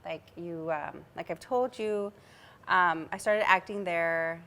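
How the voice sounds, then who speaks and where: A woman from the Midwest who grew up in Texas, a guest actress telling stories in a casual interview, animated and quick to laugh. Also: on the low side. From Midwest US, raised in Texas.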